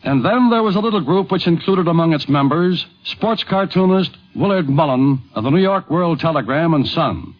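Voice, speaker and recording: A man's voice: on the high side, animated, normal speed, few pauses. A man reading scripted narration as the narrator of a 1950s radio documentary. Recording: muffled 1950s radio recording.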